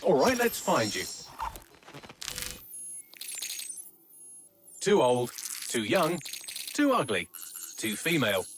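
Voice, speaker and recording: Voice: mid-range voice, wildly swooping pitch, measured pace, music in the background. An actor voicing a witty artificial intelligence assistant in a video game, dry, sarcastic and breezy. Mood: mocking, playful. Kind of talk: scripted game dialogue.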